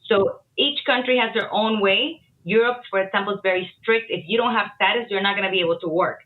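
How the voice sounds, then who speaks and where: Woman attorney on a tinny line. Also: mid-range voice. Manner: explanatory, measured, opinionated. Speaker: immigration attorney, podcast guest. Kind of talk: casual podcast interview. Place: Miami.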